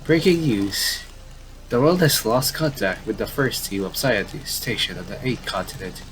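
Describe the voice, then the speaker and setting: A man's voice: on the low side, lively intonation, moderate pace, a bit bright, a little hiss or hum, poor audio. A man doing casual game commentary, reading visual novel dialogue aloud and often stumbling and repeating phrases.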